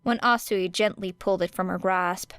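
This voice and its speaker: A woman's voice: lowish voice, moderate pace, smooth, dry recording. A woman reading fan fiction aloud as an audiobook, narrating steadily and evenly. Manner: steady, even storytelling. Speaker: podfic reader.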